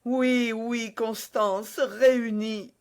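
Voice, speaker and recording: A reader voicing a passionate young swordsman who calls out loudly and urgently for help. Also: mid-range voice, steady tone, extremely slow, voice pushed up. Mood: eager. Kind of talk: audiobook character voice.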